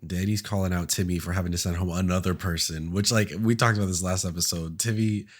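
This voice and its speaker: Congested low-voiced man, campy banter. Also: fairly even intonation, quick pace. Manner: campy, dramatic rants with laughter. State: congested from a cold. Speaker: podcast co-host. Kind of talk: casual chat recapping a reality show.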